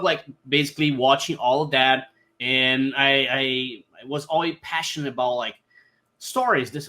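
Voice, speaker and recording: A man in his thirties from Brazil, a native Portuguese speaker, telling his life story as a podcast guest in halting English full of fillers and restarts. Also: medium pitch, pushed and bright. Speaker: podcast guest, brand designer. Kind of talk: casual interview storytelling.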